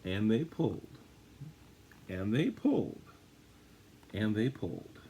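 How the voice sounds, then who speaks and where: A man with a husky voice reading a children's storybook aloud, with dramatic, drawn-out emphasis. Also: lowish voice, big pitch swings, dark tone, a little hiss or hum. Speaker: story reader. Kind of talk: animated read-aloud of a children's picture book.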